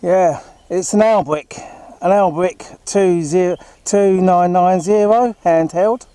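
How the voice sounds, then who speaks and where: A man's voice: high voice, takes it slowly, dark tone, clear, resonant tone, dry recording. A man chatting casually on a handheld two-way radio, polite and often repeating himself. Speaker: CB radio operator testing a handheld while walking. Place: outdoors on a high street and park walk.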